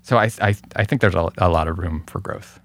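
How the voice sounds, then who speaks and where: A middle-aged man speaking as an interview guest in a casual, thoughtful, halting way, often hedging what he says. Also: sits low, gravelly, no echo. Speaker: guest, radio producer.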